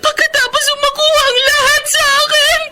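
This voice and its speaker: A woman's voice: extremely high pitch, little pitch movement, fairly quick. An actress playing a devoted, heartbroken young Filipina girlfriend in a melodramatic radio drama. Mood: hurt, tearful. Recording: music bed under the voice.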